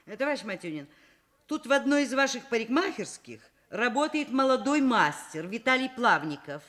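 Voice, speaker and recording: An actress in a Soviet radio drama playing a middle-aged woman professor and institute director, brisk and caring but easily exasperated. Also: fairly high pitch, big pitch swings, fairly bright tone. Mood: polite, firm.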